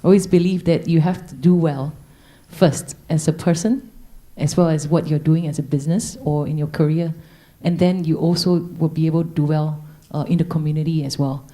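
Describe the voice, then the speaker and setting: A woman's voice: low voice, fairly even intonation, brisk, mellow. A businesswoman speaking on a panel in a hesitant, unscripted way, full of fillers and restarts.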